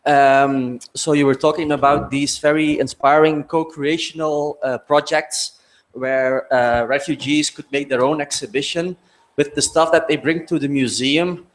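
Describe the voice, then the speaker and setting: A man's voice: on the high side, fairly even intonation, measured pace. A man from Belgium asking a question from the audience at a conference, speaking hesitantly with many fillers into a handheld microphone in a large hall.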